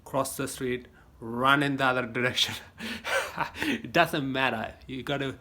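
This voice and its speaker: Australian male vlogger, phone mic, tense bright tone, loose rambling delivery. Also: mid-range voice, measured pace. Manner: rambling, folksy advice with many fillers and restarts. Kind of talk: casual rambling monologue to a phone camera. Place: home gym. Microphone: phone camera.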